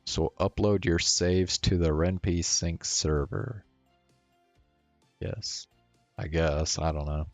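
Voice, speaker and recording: A man's voice: low-pitched, fairly even intonation, slow pace, creaky, long pauses, dry recording, soft background music. A man streaming a visual novel, reading aloud and chatting in a casual way. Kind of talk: narrated read-aloud and casual sign-off.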